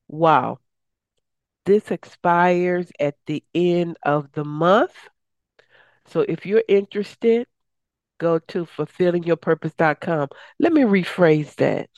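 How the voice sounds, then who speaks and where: A woman hosting a radio show, her voice raspy and hoarse from days of talking, chatty and upbeat. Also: darkish tone, no room sound, muffled recording. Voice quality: raspy, deeper than usual. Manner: chatty, upbeat, promotional, with many uh fillers. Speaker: radio show host and success coach. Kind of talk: casual radio talk.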